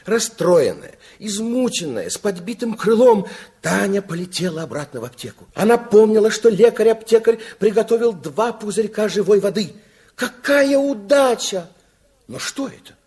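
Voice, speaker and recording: Animated high-voiced male storyteller. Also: normal speed, slightly rough. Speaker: narrator. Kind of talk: expressive storybook narration in a radio play. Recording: old 1960s radio recording with music.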